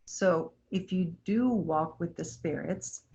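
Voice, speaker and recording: A woman plays a gentle, wise river spirit in a staged play. She speaks very slowly and instructively, in a lowish voice. The sound is a little dull and lo-fi. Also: gravelly, husky, heavily acted. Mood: tender, encouraging. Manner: measured, earnest, instructive. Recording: dull lo-fi recording.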